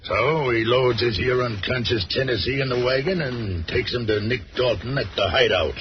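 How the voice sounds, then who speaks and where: A man acting a scheming outlaw boss from a radio western, bossy and folksy with menace. He has an American western drawl, and the old recording is dull and clearly noisy. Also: medium pitch, moderate pace, lightly acted.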